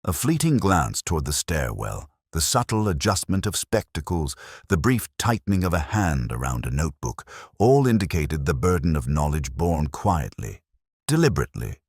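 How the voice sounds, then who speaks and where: A man reading a scripted first-person detective story in a measured, formal, reflective manner. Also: a bass voice, very animated, husky, dry recording, studio quality. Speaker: audiobook-style story narrator.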